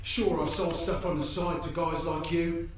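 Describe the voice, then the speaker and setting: Man acting a brash high-pitched small-time crook, echoey. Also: steady tone, normal speed, natural voice. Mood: pleading, evasive. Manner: brash, foul-mouthed, defensive. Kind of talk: video game cutscene acting. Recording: echoey, distant, noisy game cutscene audio.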